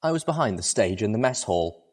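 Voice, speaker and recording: A man's voice: lowish voice, sing-song, brisk, a little mellow. A man reading a story aloud as narrator, in a dramatic first-person style.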